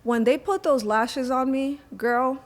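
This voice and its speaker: A middle-aged woman from Venezuela, telling her story in a casual, animated and emphatic way as a podcast guest. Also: high voice, measured pace. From Venezuela, moved to the US at age eight. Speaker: podcast guest, lash artist and educator. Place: guest in the host's home.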